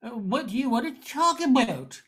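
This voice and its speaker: An older woman playing a confused, gentle middle-aged man in an amateur radio play, with a halting, stammering delivery. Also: a little high, expressive. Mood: confused, hesitant. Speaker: amateur radio drama actor.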